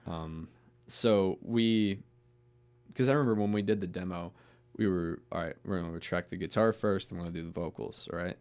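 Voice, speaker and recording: A young man with a deep voice chatting casually as a podcast guest, rambling with restarts and swearing. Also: moderate pace, darkish tone. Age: young adult. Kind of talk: casual chat.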